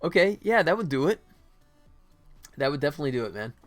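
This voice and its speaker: A man's voice: a little high, big pitch swings, quick pace, faint music behind. A man chatting casually as a livestream host and indie developer, rambling and thinking aloud while he works.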